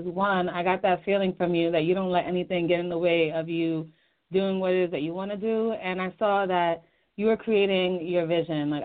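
A woman's voice: fairly low pitch, dry recording. A woman hosting an internet radio interview show, introducing her guest in a friendly, encouraging way. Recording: dry, tinny phone line.

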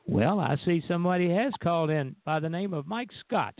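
A man's voice: fairly high pitch, expressive, fairly quick, no room sound. Older man on a tinny phone line. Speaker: radio show host. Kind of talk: casual chat. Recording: phone-like, narrow band audio.

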